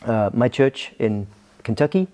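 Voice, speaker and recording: A man's voice: mid-range voice, big pitch swings, unhurried, mellow. A middle-aged Scottish man, a pastor guesting on a podcast, talking casually with many hesitations and restarts. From Scotland. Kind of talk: casual interview talk.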